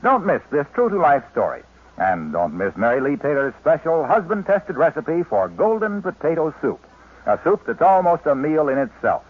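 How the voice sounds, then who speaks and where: A man's voice: on the high side, very animated, moderate pace, husky. A man announcing and reading a scripted commercial in an upbeat, salesy way. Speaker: radio announcer. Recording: thin, phone-like old radio broadcast.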